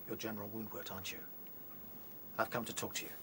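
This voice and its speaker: An actor playing a brave, reasonable rabbit leader in a radio drama, earnest and decisive. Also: male voice, lowish voice, fast talker, a bit bright, a little hiss or hum, lightly acted. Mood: earnest diplomacy. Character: brave, reasonable young male rabbit chief. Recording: faint ambient sound behind voice.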